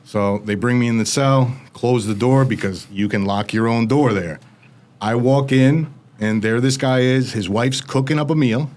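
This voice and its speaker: Animated American man retelling a story. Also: medium pitch. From United States. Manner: fast, animated retelling with quoted voices. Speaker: interview guest. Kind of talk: casual storytelling.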